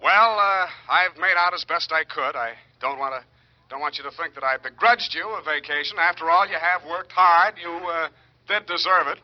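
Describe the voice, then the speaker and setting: An actor playing a wry, streetwise private detective in a 1940s radio drama, dry and teasing. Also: male voice, fairly high pitch, moderate pace, close to an everyday voice. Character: wry, sarcastic, streetwise hard-boiled male private detective. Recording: dull, lo-fi 1940s radio recording.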